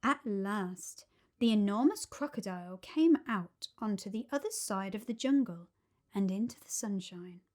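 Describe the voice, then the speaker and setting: A woman reading a children's storybook aloud as an expressive narrator. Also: mid-range voice, unhurried. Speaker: storybook reader.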